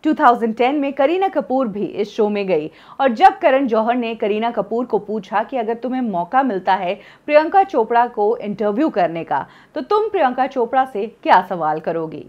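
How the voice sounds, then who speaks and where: Animated woman presenting celebrity gossip. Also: on the high side, normal speed, few pauses, muffled recording. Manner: lively storytelling delivery, mixing in English phrases. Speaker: entertainment news presenter.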